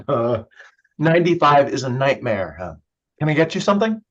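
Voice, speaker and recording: A man's voice: mid-range voice, big pitch swings, moderate pace, lo-fi sound. A man acting in a naturalistic play reading as an evasive, joking young brother who hides his drinking. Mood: casual, joking. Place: remote online staged reading.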